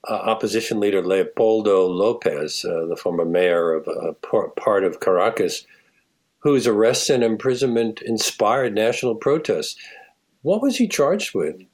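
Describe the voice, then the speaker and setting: A man's voice: fairly low pitch, expressive, unhurried, darkish tone. A man interviewing filmmakers, asking brief, direct questions.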